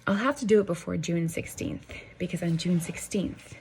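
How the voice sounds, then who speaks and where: A woman narrating a novel in the first person, with a measured, dry and deliberate delivery. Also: lowish voice, a little mellow, breathy edge, poor audio. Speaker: audiobook narrator.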